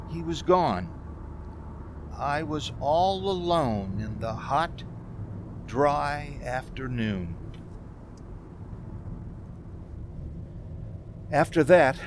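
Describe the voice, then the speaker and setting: A man acting a high-voiced, haunted young male driver. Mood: haunted, brooding. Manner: stammering, hesitant, pleading. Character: anxious, haunted young man driving cross-country alone. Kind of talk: 1940s-style radio drama acting and narration. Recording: faint background noise, community theater recording.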